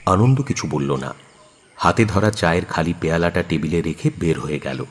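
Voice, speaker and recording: Low, husky male story narrator. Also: moderate pace. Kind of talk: scripted dramatic story narration.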